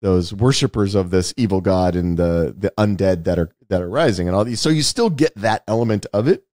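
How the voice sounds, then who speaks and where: A man's voice: sits low, a little fast, darkish tone, dry recording, clean studio sound. A man co-hosting a casual film discussion, explaining his points emphatically and often rephrasing them. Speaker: podcast co-host discussing films.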